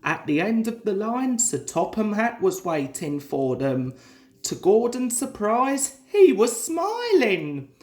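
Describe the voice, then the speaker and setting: A person reading a children's story aloud in a deliberate, dramatic storytelling style. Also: mid-range voice, very animated, darkish tone. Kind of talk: expressive children's story narration.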